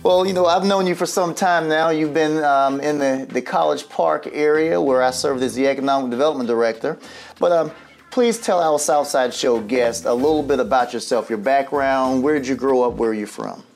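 A man's voice: mid-range voice, fairly quick, creaky, faint music behind. A man hosting a local interview show, asking questions and giving many short acknowledgments. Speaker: show host. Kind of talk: interview host.

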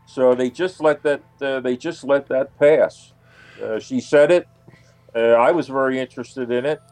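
A man answering as an interview guest on radio, haltingly searching for his words. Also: medium pitch, fairly even intonation, darkish tone. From United States. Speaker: guest, UFO researcher.

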